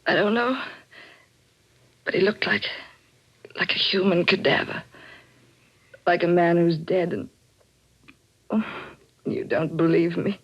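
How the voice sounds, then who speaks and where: An actress playing a high-strung, determined widow with a dramatic, urgent delivery in a 1940s radio mystery. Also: female voice, slow, long pauses, husky. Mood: defensive, upset. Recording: dull old radio recording.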